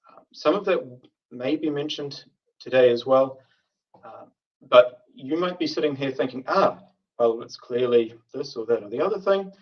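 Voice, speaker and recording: A man giving a prepared tech conference talk in a measured way, with frequent filler sounds. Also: mid-range voice. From New Zealand. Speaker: conference speaker, software architect. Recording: distant, dull remote call audio.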